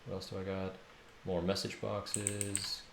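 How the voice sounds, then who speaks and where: A man's voice: deep voice, completely flat delivery, unhurried, vocal fry. A man talking casually to himself in short muttered fragments as he works at coding. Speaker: game developer streaming his coding. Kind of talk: thinking aloud while coding, casual. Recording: noisy, roomy home recording with keyboard clatter. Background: computer keyboard typing and clicks.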